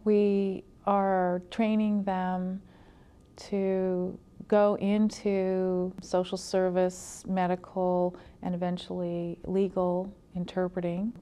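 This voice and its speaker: A woman college instructor explaining her field in an interview in a measured, deliberate way. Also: fairly low pitch, flat delivery, dark tone, clear, resonant tone.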